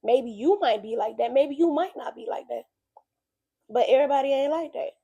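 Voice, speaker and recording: Slightly raspy woman, earnest and preachy. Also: high voice, normal speed, mellow, highs cut off. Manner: earnest, preachy, conversational with emphatic repetition. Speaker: vlogger sharing a faith message. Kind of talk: casual spoken reflection.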